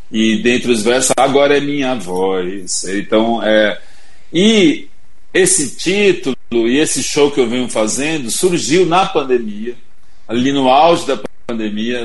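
A man's voice: mid-range voice, expressive, unhurried. Brazilian man reflecting in an interview. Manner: reflective, storytelling. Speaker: guest singer-songwriter. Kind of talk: casual interview talk over a livestream.

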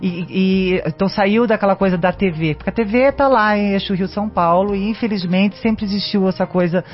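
An actress being interviewed as a guest, talking in a chatty, animated way with lots of fillers. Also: female voice, medium pitch, a little fast. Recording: dull lo-fi radio sound with faint music.

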